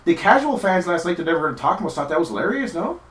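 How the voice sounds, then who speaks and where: A man's voice: a little high, big pitch swings, quick pace, fairly bright tone. A young man from Newfoundland co-hosting a casual chat show, rambling, swearing casually and laughing often. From Newfoundland, Canada. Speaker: podcast co-host. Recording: echoey room.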